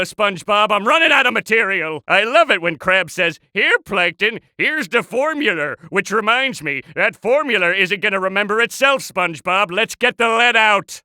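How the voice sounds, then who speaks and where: Pompous tiny cartoon villain, sharp and forceful. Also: male voice, high voice, no echo, heavily acted. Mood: impatient, angry. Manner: pompous, theatrical, sarcastic. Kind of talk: cartoon video game voice acting.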